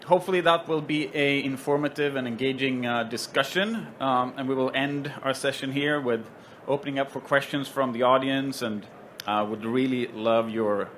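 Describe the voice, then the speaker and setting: A man with a Swedish accent introduces a trade show panel in an easy, joking manner. He often pauses on ums and uhs. A faint hum of the show floor sits behind his voice. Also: mid-range voice, a bit bright. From Sweden. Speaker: panel moderator. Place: trade show stage with stands around.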